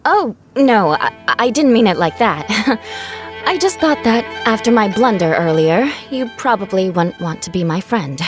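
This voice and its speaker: Formal lady knight in a video game, bit awkward, precise, lively pitch movement, music underneath. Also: a little slow. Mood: flustered. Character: formal, proud but awkward young female knight.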